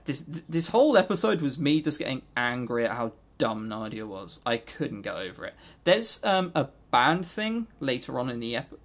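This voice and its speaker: A male podcast co-host talks off the cuff in a medium-pitched, highly animated voice. He sounds exasperated and emphatic, swearing freely as he rants through a review. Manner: mimics characters in high exaggerated voices. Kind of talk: unscripted ranting review.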